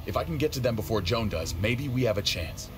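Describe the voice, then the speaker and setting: An actor playing an intense, righteous and volatile survivor leader in a dramatic video game. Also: male voice, medium pitch, expressive, a little fast, background noise, natural voice. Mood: grim urgency.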